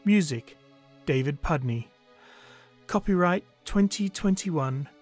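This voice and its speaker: Measured man announcing over music. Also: fairly high pitch, animated, a little mellow. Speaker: narrator reading closing credits. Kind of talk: scripted announcement, formal and measured.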